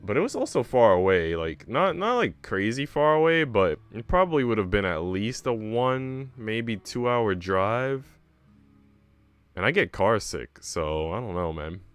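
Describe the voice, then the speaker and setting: A man's voice: fairly low pitch, sing-song, a little slow, a bit bright, clear, resonant tone, no room sound, soft background music. A young man chatting casually on a live stream in a slangy, rambling way. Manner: slangy, rambling, sprinkled with "like" and "bro". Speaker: streamer reading a visual novel, a teacher.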